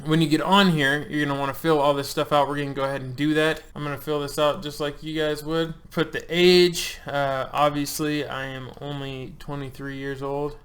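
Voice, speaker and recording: A man's voice: fairly high pitch, level tone, normal speed, few pauses, fairly bright tone. A man in his thirties talks viewers through an online form at an easy, conversational pace. His delivery is loose and joking, full of filler words. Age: 30s. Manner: loose, chatty, joking, with frequent ums and uhs. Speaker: channel host. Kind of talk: casual screen-share walkthrough.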